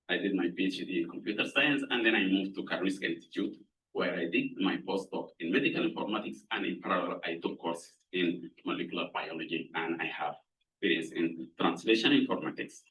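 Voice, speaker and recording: A middle-aged man from Sudan, speaking, gives an earnest, measured lab introduction as a professor, pausing to find words. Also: low-pitched, fairly bright tone, slight background noise. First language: Arabic. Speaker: professor presenting his lab. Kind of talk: prepared lab introduction talk. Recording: voice somewhat far from mic.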